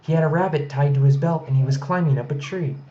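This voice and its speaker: Man putting on an eager, higher-pitched little girl. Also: quick pace, dark tone, natural voice. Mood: insistent. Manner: eager, insistent. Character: eager, insistent little girl, mayor's daughter. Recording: slightly noisy, dull home recording.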